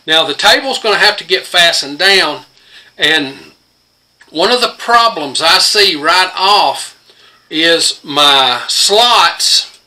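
Older man, bright sharp voice, slow talker, home workshop, phone camera up close. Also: a little high, lively intonation. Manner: plain-spoken, rambling, thinking aloud with fillers. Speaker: homesteader and host. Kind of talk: casual workshop demonstration. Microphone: phone camera close to the workbench.

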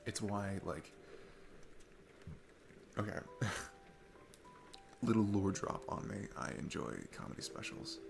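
A man's voice: lowish voice, takes it slowly, gravelly, pauses often, music in the background. A man playing a sweet, nervous single dad in an intimate ASMR roleplay, rambling and hesitant in a casual, self-deprecating way. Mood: earnest, awkward.

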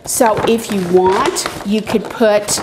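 A woman's voice: mid-range voice, takes it slowly. Folksy woman, noisy background. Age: middle-aged. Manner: folksy, chatty step-by-step explaining. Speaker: cooking show host, extension educator. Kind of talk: casual craft and cooking demonstration. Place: medium-sized indoor demonstration kitchen. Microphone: lapel mic. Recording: clear background noise with clicks.

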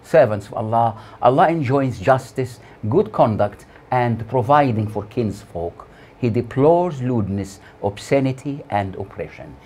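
A man in his sixties delivering a scripted sermon as a preacher, solemn and deliberate, with measured phrases and pauses. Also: medium pitch, animated, warm tone, breathy edge. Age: 60s. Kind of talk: scripted sermon read from notes. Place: small plain room indoors. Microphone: lapel mic.

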